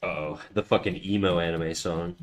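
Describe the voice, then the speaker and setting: A man's voice: sits low, steady tone, moderate pace. Dude casually streaming while he draws, cussing a lot, self-deprecating, keeps restarting sentences. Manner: rambling, profane, self-mocking, with frequent restarts. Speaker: livestreamer and artist. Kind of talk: casual livestream chat while drawing.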